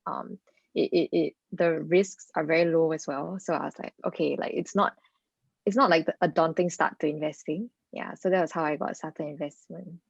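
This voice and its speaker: A woman's voice: deep voice, gravelly, darkish tone, no echo, lo-fi sound. A woman, a recent graduate chatting in an interview and often repeating herself. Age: 20s. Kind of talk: casual interview answer.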